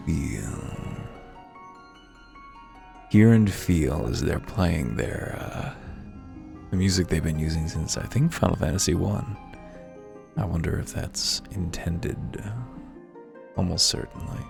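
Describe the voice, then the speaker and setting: A man's voice: deep voice, slow, gravelly, long pauses. A man hosting a let's play, giving wry, offhand commentary and reading game text aloud. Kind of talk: casual gameplay commentary. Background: faint game music.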